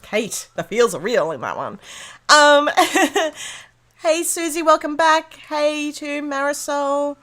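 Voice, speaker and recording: A chatty woman with a fairly high voice that is a little hoarse as she recovers from being sick. She talks casually and brightly while crafting, filling pauses with ums and small laughs. She is speaking from a room at home. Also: lively intonation. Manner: bubbly and chatty, greets viewers by name, frequent ums and laughs. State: slightly hoarse, losing her voice after being sick. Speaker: livestream host of a planner craft show. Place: room at home with a door open to rain.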